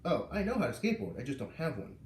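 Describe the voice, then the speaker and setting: A man's voice: mid-range voice, wildly swooping pitch, fast, a little mellow. Polite new-kid schoolboy, read by a man, echoey room. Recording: echoey room, far from the mic.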